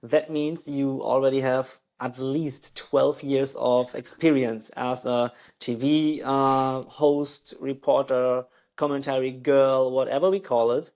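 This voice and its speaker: A man hosts a casual podcast interview, his questions full of hesitant restarts and small laughs. His voice sits at an ordinary middle pitch for a man. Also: fairly even intonation, slow, hardly any pauses, darkish tone. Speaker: podcast host.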